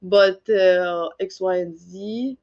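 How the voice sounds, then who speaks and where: A woman's voice: medium pitch, fairly even intonation, slow, a little mellow, highs cut off. A woman with an Egyptian accent whose first language is Arabic, a podcast guest who laughs often in a bubbly casual chat. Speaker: guest, tech founder.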